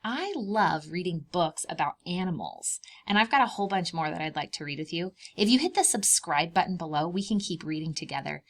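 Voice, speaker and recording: A woman's voice: lowish voice, a little fast, few pauses. Woman reading aloud to young children. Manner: simple explanations with questions to the young listeners; expressive, emphasizing key words for small children. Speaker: children's read-aloud host and preschool teacher.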